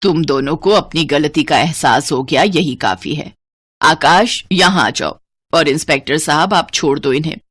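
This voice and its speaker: A strict, caring older mother-in-law played by a woman in a cartoon tale. Her voice sits lower, measured and admonishing. The recording is close and dry. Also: a bit bright, slightly rough, no room sound. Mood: stern, relieved. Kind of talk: acted cartoon story dialogue.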